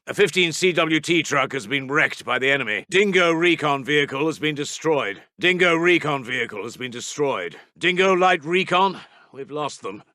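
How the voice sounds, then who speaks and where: A man's voice: a little high, pushed and bright, dry recording, clean studio sound. A man playing a grim British Second World War army intelligence officer in a strategy game, reporting in a clipped, urgent military manner with a British accent. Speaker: game voice actor.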